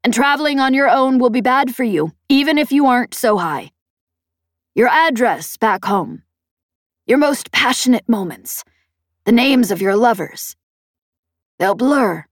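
Woman voicing a commanding, high-pitched female pirate boss. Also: lively intonation, measured pace, pauses often, dry recording, studio quality. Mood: ominous warning. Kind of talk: audiobook character dialogue.

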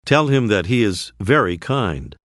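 A man narrating a language course, calmly prompting the learner with phrases to say. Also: fairly low pitch, no echo, studio quality. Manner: slow, clear, prompting the learner. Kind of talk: scripted instructional narration.

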